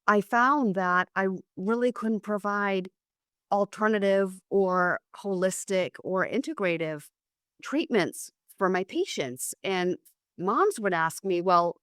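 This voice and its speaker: A middle-aged woman speaks as an interview guest, her voice hoarse from a cold. She talks in a loose, conversational way, restarting sentences and filling gaps. The tone underneath stays smooth, and the recording is close and dry. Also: mid-range voice, animated, slow, a bit bright, no echo. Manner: conversational, with frequent restarts and fillers. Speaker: guest, psychiatric nurse practitioner. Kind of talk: casual interview chat.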